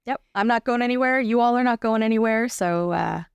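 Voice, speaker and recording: A woman's voice: on the high side, fairly quick, gravelly, clear, resonant tone, no echo. A woman giving a casual, explanatory interview as a guest, often checking in with the listener. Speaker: podcast guest, arts advocate and workshop teacher.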